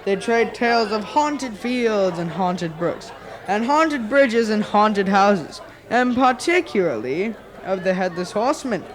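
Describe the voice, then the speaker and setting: A man's voice: sits high, expressive, slow pace, a faint crowd. A young male student playing an old-time chronicler, narrating a ghostly radio play in formal, ornate language. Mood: eerie storytelling. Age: young adolescent performer in a middle school production. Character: Dietrich Knickerbocker, old-time storyteller and chronicler of ghostly tales. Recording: music behind the voice.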